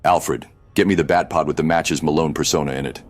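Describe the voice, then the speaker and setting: A man voicing a grim, commanding vigilante superhero, terse and determined, with a gruff low voice. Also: steady tone, fairly quick.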